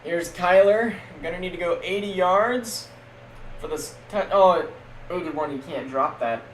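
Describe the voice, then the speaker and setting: A young man streaming casual, jokey play-by-play of a simulated football league, getting loud on big plays. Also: sits high, measured pace. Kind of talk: live-stream game commentary. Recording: echoey room with faint background noise.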